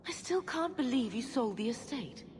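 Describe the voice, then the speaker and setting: A woman's voice: a little high, expressive, moderate pace, a little mellow, slight background noise, natural voice. An actress playing an anxious, grieving middle-aged noblewoman and mother in a video game, earnest and plaintive. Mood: distressed. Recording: long reverb with faint music.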